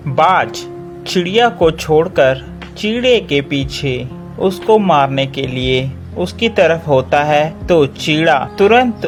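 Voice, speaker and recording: Man, scripted story narration, slow pace, fairly high voice, soft music underneath.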